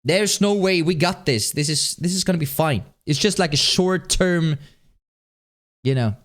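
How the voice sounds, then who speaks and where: A man streaming a game, with a light, high-pitched voice, chatting casually and rambling with lots of laughs. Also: unhurried. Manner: animated, rambling, laughs often. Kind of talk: casual live-stream chat while playing. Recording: music playing underneath. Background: game music.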